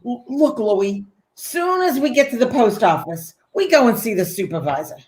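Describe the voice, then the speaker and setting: A woman playing a brash, excitable, street-smart New York postal worker in a 1940s-style radio play. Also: on the high side, normal speed, rough recording. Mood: excited, scheming.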